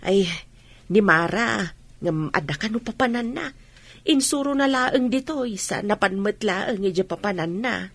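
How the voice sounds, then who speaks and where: A woman's voice: mid-range voice, animated, measured pace, no room sound. A woman playing a worried, fretful village mother in a radio drama. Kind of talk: radio comedy drama dialogue.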